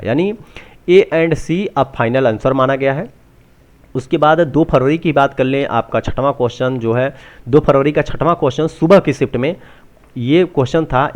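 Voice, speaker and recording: A man talks through exam updates unscripted, halting and often restating what he has just said. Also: medium pitch. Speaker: presenter of exam answer-key updates. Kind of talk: unscripted walkthrough explanation.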